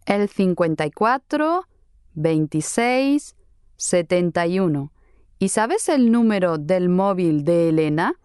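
A friendly young office worker. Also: female voice, fairly low pitch, animated, slow, darkish tone, no echo, studio quality. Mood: careful. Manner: clear, careful friendly delivery. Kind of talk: slow scripted dialogue for learners.

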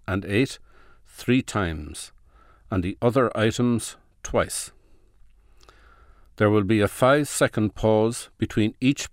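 A man reading scripted exam instructions in a formal, measured way. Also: on the low side, no room sound, clean studio sound. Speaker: exam announcer.

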